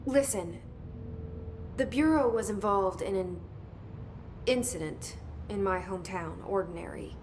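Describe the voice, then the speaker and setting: A woman's voice: medium pitch, unhurried, pauses often, darkish tone, a little hiss or hum, close to an everyday voice. An actress playing a guarded, determined young woman newly in charge in a video game, speaking tersely and directly. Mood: guarded, earnest. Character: guarded, determined young female newcomer made agency director. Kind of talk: video game cutscene dialogue.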